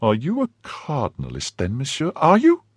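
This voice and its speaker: A man voicing an indignant young wife who scolds her husband, pushing his husky voice higher. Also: wildly swooping pitch, normal speed, no echo, clean studio sound. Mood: scornful. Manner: scornful, pleading and scolding by turns. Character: young woman, indignant, fiercely loyal wife.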